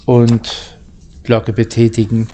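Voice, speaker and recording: A man reading and talking in a halting way, stumbling over and repeating words, like an amateur storyteller. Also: medium pitch, monotone, mellow, a little hiss or hum. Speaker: narrator, channel host. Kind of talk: amateur storybook reading, casual channel outro.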